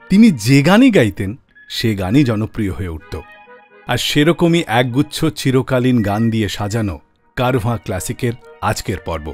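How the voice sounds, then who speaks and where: A man's voice: mid-range voice, darkish tone. A man hosting a radio show, telling scripted stories about classic songs.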